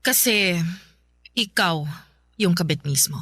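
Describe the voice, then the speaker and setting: A woman's voice: lowish voice, expressive, slow, pushed and bright, faint music behind, exaggerated voice. A woman playing a betrayed wife in a Filipino radio drama, bitter, fierce and accusing as she confronts a rival. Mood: guarded, grave.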